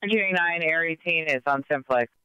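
Bright, crisp male police radio dispatcher. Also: fairly high pitch, fast talker, no echo. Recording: dull police scanner radio.

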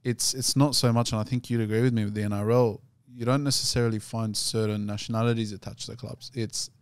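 Laid-back Australian co-host, dry sound. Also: male voice, medium pitch, flat delivery, fast, clear, resonant tone, no echo. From Sydney, Australia. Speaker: podcast co-host. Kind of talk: casual podcast chat.

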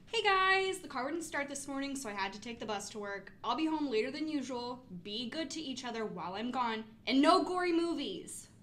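A woman acts a mother in an audio drama. She is busy but caring, speaking in a high voice as she leaves a phone message for her children. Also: animated, fairly quick, few pauses, a bit bright. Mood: apologetic, motherly.

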